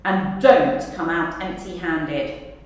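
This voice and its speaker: A woman voicing a gruff, greedy male pirate captain who booms and barks orders in a children's storybook. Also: slow pace. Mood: commanding. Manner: booming, barking orders. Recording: echoey hall, distant mic, background noise.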